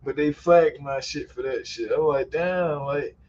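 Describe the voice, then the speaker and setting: A man's voice: mid-range voice, measured pace, a little mellow. A man hosting a live stream who talks in a loose, profane, storytelling way and laughs often. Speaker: YouTuber and stream host. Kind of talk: casual chat on a live stream. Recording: muffled sound with faint ambient noise.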